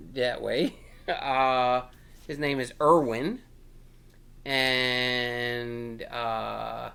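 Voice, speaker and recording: A man hosts a children's storytime in a casual, playful chat. He speaks slowly at a medium pitch, rambling a little as he goes. His manner is encouraging and aimed straight at young listeners. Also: creaky, fairly bright tone.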